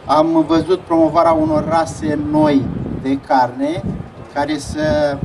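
Male official, slightly high voice, congratulatory address, noisy outdoor recording. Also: level tone. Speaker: town hall representative. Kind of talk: short congratulatory speech. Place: open-air fairground stage. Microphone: distant camera, speech over loudspeakers. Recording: distant outdoor recording, clear noise.

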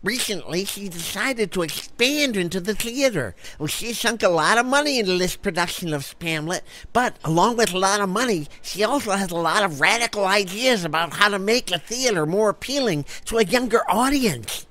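A man's voice: high voice, animated, moderate pace, few pauses, bright, forceful tone, low-quality recording. An actor playing a chatty, effusive male informant who loves the theatre, in a comedy audio drama. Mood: confiding, gossipy. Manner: effusive, gossipy.

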